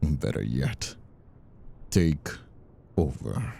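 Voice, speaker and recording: Man playing a very deep, gravelly mocking mobster villain. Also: sing-song, very slow, dark tone, full character voice. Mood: defiant, menacing. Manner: taunting, condescending, drawling. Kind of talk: dramatic audio roleplay acting.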